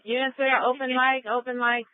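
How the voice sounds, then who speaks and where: A woman's voice: sits high, level tone, a little fast. A woman dispatching over police radio in a clipped, procedural way. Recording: narrow, tinny police scanner radio.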